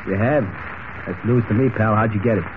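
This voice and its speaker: A man's voice: lowish voice, brisk, lightly acted. Man acting a drowsy American gangster, crackly old radio. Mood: drowsy, indifferent. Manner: slangy, laconic, drowsy. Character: tired, laconic gangster, casual hired tough guy. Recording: muffled old radio recording with hiss.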